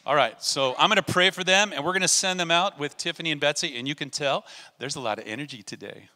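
A man's voice: on the high side, fast. A middle-aged man leading a church service, talking informally and then praying in a church sanctuary on a handheld mic. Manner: warm, informal with kids, then prayerful. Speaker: pastor. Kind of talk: church service address and prayer. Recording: long reverb of a church hall.

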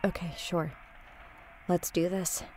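A woman's voice: on the low side, little pitch movement, takes it slowly, darkish tone, a little hiss or hum, poor audio. A woman playing a guarded, skeptical podcast host in an audio drama, speaking conversationally. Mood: reluctant.

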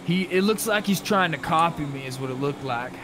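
A man's voice: a little high, expressive, brisk, background noise. A man chatting casually in video game roleplay, swearing freely with mock indignation. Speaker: gamer, roleplay streamer.

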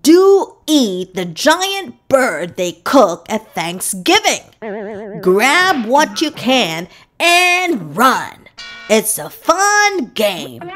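A woman voicing an earnest, boastful pet dog in a children's picture book, with emphatic, drawn-out delivery. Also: fairly high pitch, a bit bright, heavily acted.

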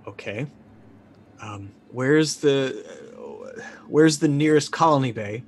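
Man playing a confused, stammering company man, mid pitch. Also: takes it slowly, a little hiss or hum. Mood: confused, exasperated. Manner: hesitant, stammering, sarcastic. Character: confused, exasperated company man waking after a crash. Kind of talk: tabletop game role-play.

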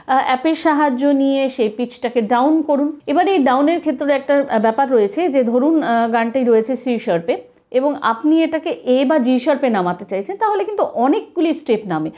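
A woman's voice: high-pitched, lively intonation, fairly quick, warm tone. A woman, explaining as a singing teacher in an instructive, emphatic way. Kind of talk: explanatory video lesson.

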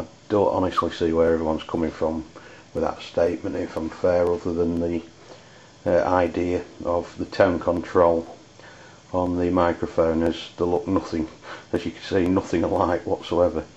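An Englishman talks through an unscripted product review in a low, flat voice. His delivery is hesitant and halting. The recording is dull and lo-fi. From United Kingdom. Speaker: amateur radio reviewer. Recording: dull lo-fi audio with background noise.

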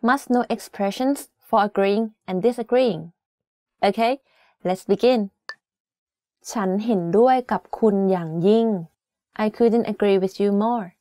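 A woman teaching phrases in a language lesson, with clear and emphatic explanations. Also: on the high side, quick pace, dark tone, dry recording, studio quality. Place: studio with plain backdrop.

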